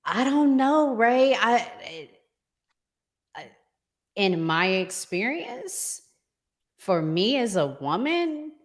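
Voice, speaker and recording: A middle-aged American woman hosting a conversational relationship show, speaking in an emphatic, deliberate way with strong opinions. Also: fairly high pitch, sing-song. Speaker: podcast host.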